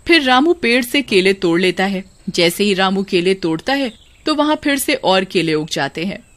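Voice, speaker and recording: A woman's voice: medium pitch, very animated, moderate pace. A woman narrating a scripted folk tale as a storyteller.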